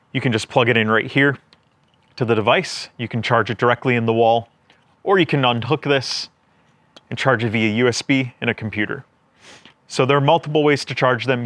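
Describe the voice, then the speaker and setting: A man's voice: medium pitch, fairly bright tone, no echo. A man giving a casual, plain-spoken product review, explaining things step by step as a reviewer. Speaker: gear reviewer presenting a product.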